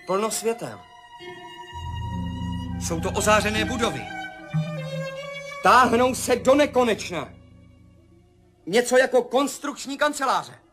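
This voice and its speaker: An actor playing a man adrift in a dreamlike vision in a 1970s radio play, with a husky voice and an urgent, awed way of talking. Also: extremely high pitch, lively intonation, pauses often, sharp tone, soft background music, exaggerated voice. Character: astronaut Tom, adult man, anxious and searching. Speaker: narrating lead character. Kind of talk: 1970s radio drama acting.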